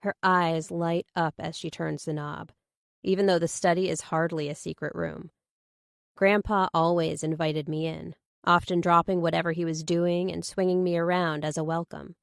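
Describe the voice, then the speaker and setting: Low-pitched woman narrating a thriller audiobook. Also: flat delivery, normal speed, gravelly, no echo, studio quality. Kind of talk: scripted first-person thriller narration.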